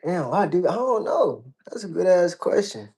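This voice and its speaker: A man's voice: high voice, sing-song, moderate pace, gravelly, darkish tone, breathy edge. A man from Georgia in the United States, chatting casually as an interview guest, blunt and slangy with plenty of swearing. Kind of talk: casual chat.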